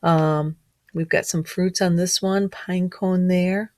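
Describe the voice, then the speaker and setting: A woman's voice: on the low side, fairly even intonation, slow, no room sound. A middle-aged woman, a teacher reading a picture book aloud to children and adding chatty, questioning asides. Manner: asks listeners frequent gentle questions, child-directed.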